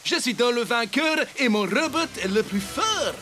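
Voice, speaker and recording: A man's voice: extremely high pitch, lively intonation, normal speed. A man voicing an arrogant, deranged boy genius in a cartoon, making pompous, menacing declarations. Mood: gloating. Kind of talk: French-dubbed children's cartoon acting. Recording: music playing behind the voice.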